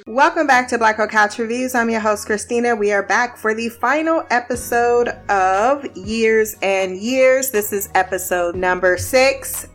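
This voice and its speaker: A woman's voice: medium pitch, fairly bright tone. A woman hosting a casual TV review podcast, blunt, laughing often and swearing freely. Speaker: podcast host.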